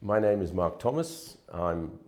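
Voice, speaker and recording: A middle-aged man from Wales, a real estate manager, answering interview questions in a relaxed, anecdotal way with frequent restarts. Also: low-pitched, a little slow, faint music behind.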